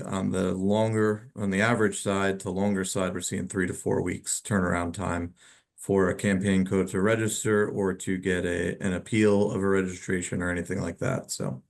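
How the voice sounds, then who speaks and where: Low, creaky-voiced man explaining haltingly. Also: darkish tone. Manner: halting, repeats words while explaining. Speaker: telecom company expert. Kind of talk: informal webinar question-and-answer.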